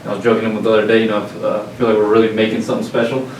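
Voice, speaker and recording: A man from Southern California, a football offensive coordinator, introducing himself in a relaxed, friendly way. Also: on the low side, rapid-fire. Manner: relaxed, friendly, loose chat. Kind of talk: self-introduction to a room. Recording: roomy, distant, noisy room recording.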